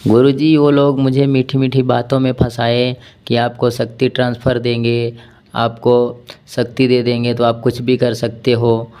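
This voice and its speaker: Man, mid-pitched, monotone, halting and repetitive, deferential caller, phone call recording. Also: smooth. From Shahjahanpur, Uttar Pradesh, India. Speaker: disciple and caller.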